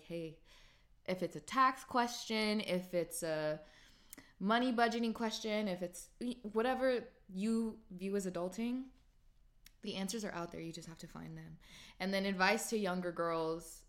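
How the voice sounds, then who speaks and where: A woman's voice: mid-range voice, fairly bright tone. A woman in her late twenties hosting a podcast, answering listener questions in a chatty, upbeat way and animating her voice when she quotes herself. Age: 20s. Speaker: podcast host. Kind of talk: casual solo Q&A podcast.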